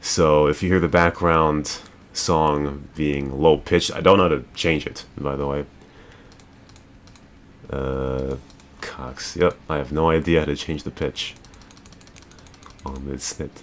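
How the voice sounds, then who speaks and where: A very low-voiced man hosting a casual podcast, going off on a rambling rant. He swears freely and hammers his points home, circling back to repeat himself. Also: steady tone, takes it slowly, long pauses, a little hiss or hum. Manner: rambling, profane, emphatic. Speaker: host.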